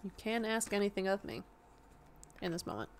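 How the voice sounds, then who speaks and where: A young woman streaming a game and chatting with her viewers, with a soft, slightly raspy voice. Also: medium pitch, sing-song, brisk, slight background noise. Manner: chatty, answers chat questions aloud. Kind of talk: casual live-stream chat while gaming. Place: indoor room. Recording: game music underneath.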